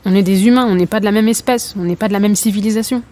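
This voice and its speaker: A woman's voice: mid-range voice, rapid-fire, mellow, natural voice. A woman playing an embattled, doubtful young athlete in a French audio drama, earnest and colloquial. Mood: anxious, defensive. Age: young adult. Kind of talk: naturalistic French audio fiction acting.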